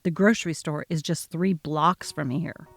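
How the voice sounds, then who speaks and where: Brief: woman reads young husband, placating and evasive, lowered voice, dramatic text-story narration. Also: normal speed, no room sound, soft background music. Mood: defensive. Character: worried young husband, placating and conflict-avoidant.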